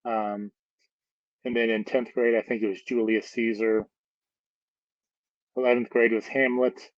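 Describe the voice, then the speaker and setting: A man's voice: medium pitch, level tone, a little slow. Guy hosting a casual chat, dull lo-fi sound, no room echo, kinda hesitant. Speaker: interview host.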